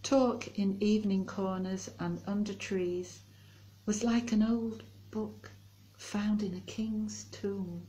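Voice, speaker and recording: A woman reciting poetry in a slow, measured delivery as a reader. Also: mid-range voice, steady tone, dark tone, low-quality recording. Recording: faint background noise and ambience.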